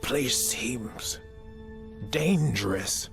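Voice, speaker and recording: A weary male scholar in a game cutscene, bookish and bitter. The voice is gravelly and unhurried, with halting phrases, over clear background music. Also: medium pitch, expressive, full character voice. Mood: uneasy. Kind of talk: video game cutscene acting. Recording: music under the voice.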